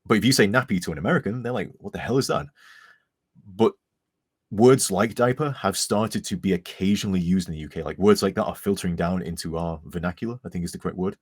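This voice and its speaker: Man, English accent with slight northern tint, deep voice, husky, dry close sound, rambling casual chat. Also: a little fast, no echo. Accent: neutral English with a slight northern tint. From England. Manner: chatty, rambling, self-deprecating. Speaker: charity stream co-host. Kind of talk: casual live-stream chat.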